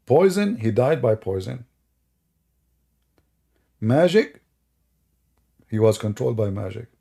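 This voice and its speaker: A man's voice: medium pitch, big pitch swings, slow pace, gravelly, mellow. A man with an Arabic accent, a native Arabic speaker, hosting a live stream in a sarcastic, teasing manner. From Middle East. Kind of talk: mocking commentary on a live stream.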